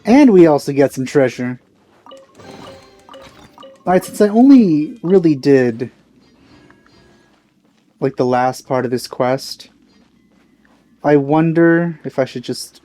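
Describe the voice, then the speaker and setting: Mellow-voiced man commentating on a game. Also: mid-range voice, slow, pauses often. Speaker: let's play host. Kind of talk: casual gameplay commentary. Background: game music.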